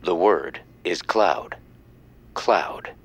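Slow, deep, folksy announcer. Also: male voice, lively intonation, slightly rough, exaggerated voice. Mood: solemn. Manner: measured, dramatic, homespun. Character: folksy, solemn, lonesome radio announcer Jackson Styles. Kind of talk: old-time radio announcer acting. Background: plucked string music.

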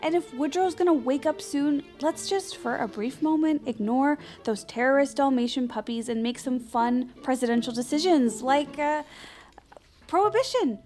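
A woman's voice: sits high, moderate pace, darkish tone, faint music behind, lightly acted. An actress playing a playful, impulsive young woman who is eager and flippant about new power, in an audio drama. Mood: playful, coaxing. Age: young adult.

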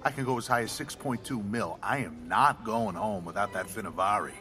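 A man's voice: lowish voice, brisk, bright, forceful tone, faint music behind. An actor playing a hot-headed, obsessive middle-aged father with an American accent in an audio drama. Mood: insistent.

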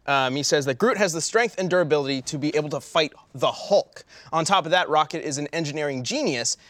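A man with a fairly high voice hosts a casual panel podcast. He chats and reads out answers from listeners. The sound is very dry, with no room around the voice. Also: fairly bright tone, dry recording. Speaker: podcast host.

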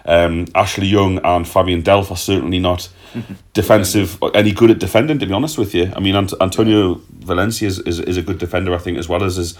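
A man's voice: deep voice, steady tone, fairly quick, hardly any pauses. A man hosting a casual football podcast, reading out listener questions and answering them in a chatty, loose way with the odd swear. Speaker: podcast host.

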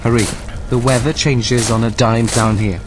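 An actor playing a calm artificial intelligence guide in an audio drama, speaking in a measured, matter-of-fact, commanding way. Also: male voice, mid-range voice, background noise, lightly acted. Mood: urgent, insistent.

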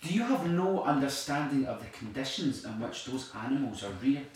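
An actor playing an angry, uncompromising climate activist in an audio play, preaching and arguing emphatically. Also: male voice, medium pitch, animated, fairly quick, natural voice. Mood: furious. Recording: very echoey room, distant, faint noise.

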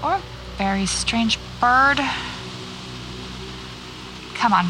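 An actress with an American accent playing a guarded, wry horror writer in a naturalistic audio drama, speaking tersely and dryly. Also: female voice, fairly high pitch, lively intonation, drawn-out words, over music.

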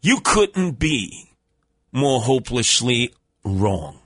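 Brash New radio host, bright tone. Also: male voice, big pitch swings, slow pace, no echo, clean studio sound. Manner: brash, mocking, theatrical swings in pitch. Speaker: radio talk show host. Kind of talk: talk radio banter with callers. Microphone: studio microphone.

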